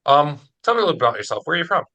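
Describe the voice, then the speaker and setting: A man's voice: on the high side, sing-song, very fast, bright, forceful tone. A man interviewing casually as host, in an informal, slightly hesitant way. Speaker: interviewer, project author.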